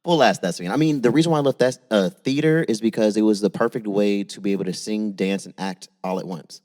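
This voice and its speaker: A man in his thirties, a singer chatting casually as a podcast guest, animated and self-deprecating. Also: fairly low pitch, fairly quick. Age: 30s. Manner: animated, self-deprecating, breaks into playful voices.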